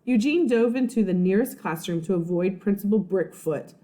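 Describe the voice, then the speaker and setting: Mellow, animated woman reading to children. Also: medium pitch, moderate pace. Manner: animated, explains and checks in with young listeners. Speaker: first-grade teacher reading aloud. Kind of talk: expressive children's storybook read-aloud with asides.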